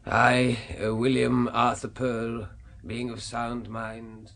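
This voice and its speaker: An actor playing a solemn, ceremonious solicitor in a 1970s radio drama, with a gravelly, husky voice. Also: male voice, mid-range voice, completely flat delivery, slow pace, a bit bright. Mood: solemn, formal. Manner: formal, ceremonious. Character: formal, solemn family solicitor reading a will.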